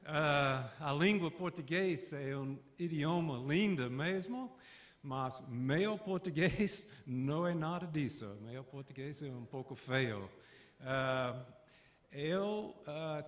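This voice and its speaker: Older American man speaking slow halting Portuguese. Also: on the high side. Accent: American English. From southern United States. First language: English. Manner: halting, searching for words with frequent "ah" fillers. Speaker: lecturer. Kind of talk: conference lecture.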